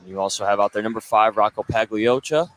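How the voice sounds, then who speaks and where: A man's voice: fairly low pitch, a little fast, a little hiss or hum. A man working as a play-by-play sportscaster, giving live basketball commentary. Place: indoor high school gym.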